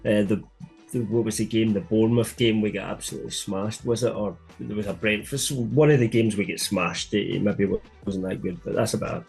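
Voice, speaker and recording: A man's voice: lowish voice, fairly even intonation, a little fast, vocal fry, a little mellow, slightly rough, soft background music. A man co-hosting a sports podcast, talking casually and thinking aloud as he goes. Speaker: podcast co-host.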